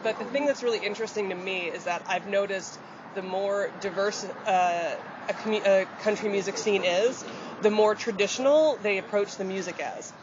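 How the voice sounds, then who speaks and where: A chatty woman from rural Michigan talks openly about her music, her somewhat deep voice easygoing and full of fillers and small laughs. She is recorded outdoors on a city street, with loud traffic around her and a dull, muffled sound. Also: moderate pace. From rural Michigan, USA. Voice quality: deeper voice. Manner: chatty and open, with frequent fillers and light laughs. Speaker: country singer and accordionist. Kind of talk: casual interview answers.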